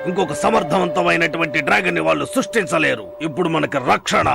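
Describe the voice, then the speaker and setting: Solemn cartoon ally, heavily creaky and gravelly, slightly high, reassuring, music underneath. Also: male voice, fast. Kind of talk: dubbed cartoon acting.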